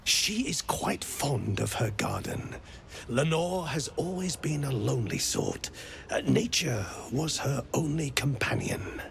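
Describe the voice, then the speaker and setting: Measured scholarly mind flayer. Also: male voice, fairly high pitch, big pitch swings, creaky, a little hiss or hum, exaggerated voice. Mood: reminiscing. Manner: precise, measured, learned. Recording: echoey reverberant room.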